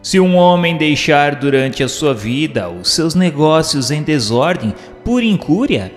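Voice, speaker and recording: A man's voice: a little high, big pitch swings, unhurried, in a large hall. A man narrating scripted book commentary in a formal, didactic manner. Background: faint music.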